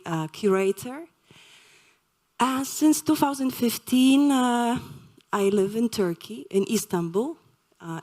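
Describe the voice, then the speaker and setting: Slow, hesitant woman. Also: mid-range voice, a little mellow. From Poland. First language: Polish. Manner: slow, hesitant, many fillers. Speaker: lecturer. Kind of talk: live public lecture.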